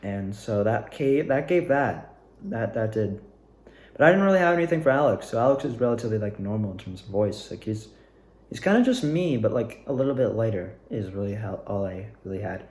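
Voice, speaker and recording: A man's voice: lowish voice, expressive, brisk, darkish tone. A young man reflecting casually and unscripted to his viewers, rambling hesitantly and often trailing off. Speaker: amateur actor and video maker. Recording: echoey room.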